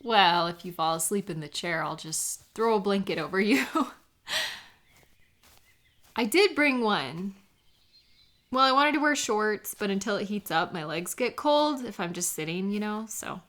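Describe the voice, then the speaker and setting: Woman playing a chatty, warm best friend. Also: medium pitch, lively intonation. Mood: friendly, relaxed. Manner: chatty, gently encouraging, hesitant little pauses. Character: warm, teasing female best friend out bird watching. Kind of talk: lighthearted intimate audio roleplay, one-sided conversation.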